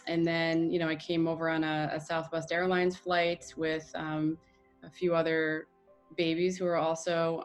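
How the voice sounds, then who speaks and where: An American woman speaking in a soft, halting way with many fillers, reflecting in an interview. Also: low-pitched. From United States.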